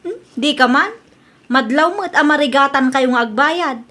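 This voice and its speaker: A woman plays a nosy older sister in an Ilocano drama. Her high voice carries an Ilocano accent as she frets and disapproves over family matters. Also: moderate pace, sharp tone. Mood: disapproving, worried. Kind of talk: Ilocano audio drama dialogue.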